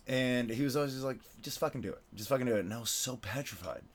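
A man's voice: mid-range voice, fast talker. A man from Venezuela who speaks with a Midwestern American accent, hosting a loose, casual conversation. He rambles through his thoughts, stacks up filler words and swears offhandedly. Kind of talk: casual chat.

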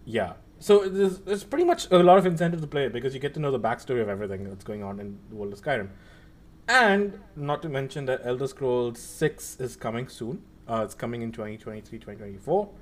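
A man's voice: mid-range voice, expressive, quick pace. A young man hosting a casual chat about video games, talking in a rambling way with many fillers and restarts. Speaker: podcast host.

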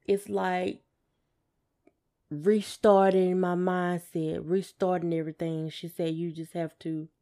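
A woman's voice: fairly low pitch, little pitch movement, takes it slowly, dark tone. A woman co-hosting a podcast in a casual, conversational style. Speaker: podcast co-host.

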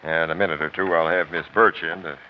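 An American man playing a brisk, shrewd police captain in 1940s radio drama, clipped and commanding. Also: a bass voice, fairly even intonation. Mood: businesslike. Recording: muffled, noisy old radio broadcast.

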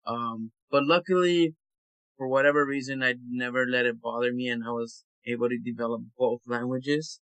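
A man, an actor, talking casually in an interview, relaxed and hesitant with frequent fillers. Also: medium pitch, normal speed, dry recording, low-quality recording.

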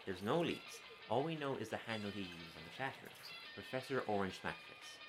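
A man playing an earnest, animated sidekick. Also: fairly low pitch, brisk, faint music behind, lightly acted. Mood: grave. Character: earnest, needy male would-be sidekick, exasperated straight man. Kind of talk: dark comedic radio play acting.

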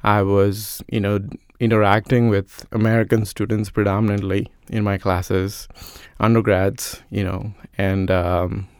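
A man from India whose first language is Telugu, speaking as a guest in a relaxed interview. His low voice has a gravelly yet smooth texture. He thinks aloud, pausing on fillers, in a dry, close recording. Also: no room sound. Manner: thoughtful, many fillers. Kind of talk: conversational interview.

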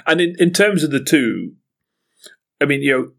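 A man's voice: a little high, animated, normal speed, vocal fry. A man hosting a podcast interview, talking hesitantly with repeated words and fillers. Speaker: podcast host. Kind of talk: casual interview chat.

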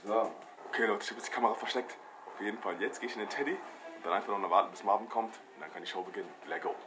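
Hoarse young man with a cold. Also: on the low side, rapid-fire. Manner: energetic, slangy, addresses viewers directly. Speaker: prank video creator. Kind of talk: casual prank vlog talking to viewers. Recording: music playing behind voice.

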